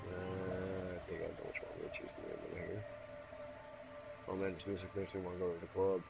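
A man's voice: low voice, completely flat delivery, normal speed, creaky, over loud music. A man chatting casually and rambling with some swearing while he streams a video game as a host. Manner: profane, rambling. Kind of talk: casual chat while gaming.

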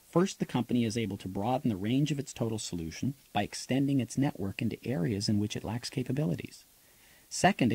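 A man reading his own nonfiction audiobook as a scripted narration, in a measured, explanatory manner. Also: medium pitch, dark tone, breathy edge, dry recording, poor audio.

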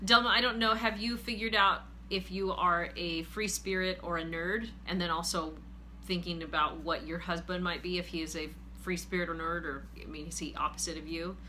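A woman in her forties hosts an earnest, steady advice chat from a living room couch, facing a camera. Her voice sits low yet bright and forward, with a faint hum in the room. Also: normal speed, low-quality recording. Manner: earnest, steady, reads viewer comments aloud. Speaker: host, finance group leader. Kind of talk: casual advice talk.

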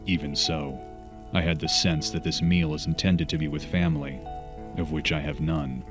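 Man playing comic-book vigilante, very low pitch, grave, measured, brooding monologue. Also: steady tone, music in the background. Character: brooding grim masked vigilante detective narrating his thoughts.

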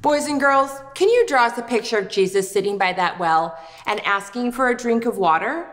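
A woman's voice: a little high, lively intonation, moderate pace, fairly bright tone, in a large hall. A woman in her thirties hosting a children's show with scripted, playful, exaggerated expression. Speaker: children's puppet show host. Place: indoor wooden puppet stage set.

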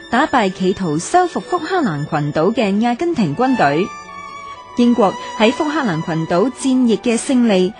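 Woman, formal documentary narration, lively melodic reading, music playing underneath. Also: medium pitch. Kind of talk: scripted historical narration. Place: radio studio. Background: background music.

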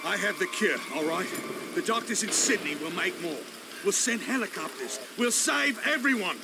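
Cornered, high-pitched military colonel. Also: male voice, animated, moderate pace, very noisy. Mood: desperate, defiant. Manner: threatening, agitated. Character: desperate cornered military colonel, unhinged over his wife. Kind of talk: video game cutscene acting.

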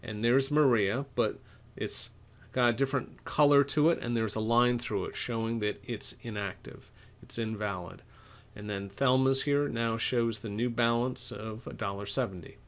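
A middle-aged man presents a software walkthrough as an equipment expert. His voice sits at an ordinary medium pitch. He explains each step informally, talking himself through what he is doing. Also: normal speed. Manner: informal, explanatory, thinks aloud while typing. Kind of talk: step-by-step software tutorial narration.

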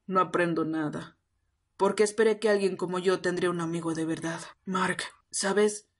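Betrayed naive young man, voiced by a woman: slightly low, hurt, self-pitying, for roleplay audio drama. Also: fairly even intonation, moderate pace, close to an everyday voice. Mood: sad, disillusioned.

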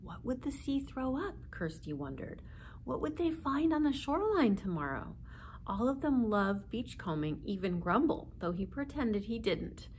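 A woman's voice: a little high, sing-song, moderate pace, a little mellow, slight background noise. A woman in her forties reading a children's story aloud expressively, seated indoors a short distance from the camera.

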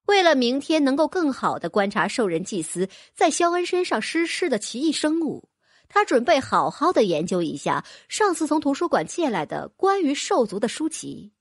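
A woman narrating a fantasy audiobook with a lively, expressive reading. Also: high-pitched, moderate pace, slightly rough, no room sound, studio quality. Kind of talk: scripted narration.

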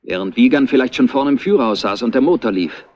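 A man's voice: mid-range voice, lightly acted. Male actor as earnest detective assistant, suspicious, clipped phrasing, mid-range voice, lo-fi crime radio play. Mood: brooding, reasoning. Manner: clipped, deliberate reasoning aloud. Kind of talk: German radio crime drama acting. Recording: dull, band-limited old radio recording.

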